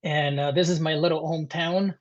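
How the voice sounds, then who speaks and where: A man in his thirties with an Italian accent, presenting informally to a webinar in a halting, conversational way. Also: a little high, fairly even intonation. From Italy. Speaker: guest presenter, dental technician. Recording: dull, muffled webinar audio.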